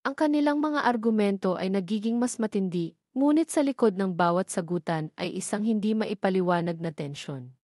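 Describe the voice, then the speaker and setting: A woman's voice: medium pitch, moderate pace, a little mellow, no echo, studio quality. A woman reading a scripted romance story aloud as a dramatic storyteller.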